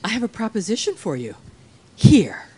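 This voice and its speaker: A woman's voice: mid-range voice, huge pitch swings, normal speed, very noisy. A woman playing a sultry, composed widow in her thirties, a coy femme fatale in a radio play. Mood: poised. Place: live performance on a cruise ship.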